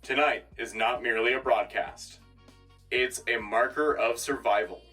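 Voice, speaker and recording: Male radio anchor role, man acting: composed, patriotic, dry wit, crisp bright delivery, music underneath, a little distant. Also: mid-range voice. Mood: solemn, proud. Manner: formal, dryly witty, measured. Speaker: radio host. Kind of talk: scripted sci-fi radio newscast.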